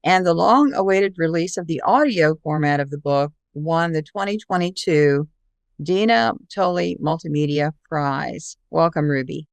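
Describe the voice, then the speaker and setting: Smooth woman hosting a podcast. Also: sits low, unhurried, no room sound. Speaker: podcast host. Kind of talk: podcast interview with read introduction.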